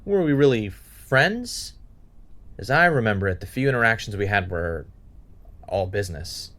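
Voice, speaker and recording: A man's voice: lowish voice, expressive, moderate pace, a bit bright. A man playing a guarded, wry podcaster and former reality contestant in a naturalistic audio drama. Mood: probing. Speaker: podcast host and narrator.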